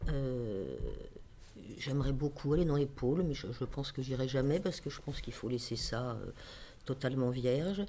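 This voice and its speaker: Dark-voiced woman, hesitant and reflective. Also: rumbling low, animated, normal speed. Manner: hesitant, searching for words. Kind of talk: spontaneous personal reflection.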